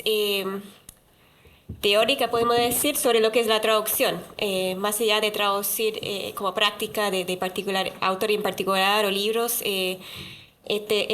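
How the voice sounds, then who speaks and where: A woman moderating a talk in a university auditorium, her voice sitting right in the middle of the range. She speaks haltingly and fills gaps with soft hesitations as she introduces the panel. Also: mid-range voice. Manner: halting, many filler sounds.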